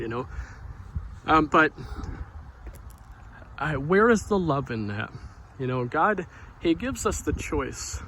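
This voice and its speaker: A soft-spoken man giving an informal devotional talk outdoors near a street, in a quiet, unhurried manner. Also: mid-range voice, a little hiss or hum, low-quality recording. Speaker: devotional vlogger. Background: passing cars.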